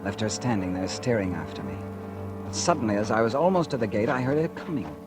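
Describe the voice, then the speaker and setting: A man's voice: lively intonation, fast, natural voice. An actor playing a bitter, vengeful man who narrates his story in a 1940s radio drama. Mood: brooding. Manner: brooding first-person narration, low and confiding. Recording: old radio broadcast with music.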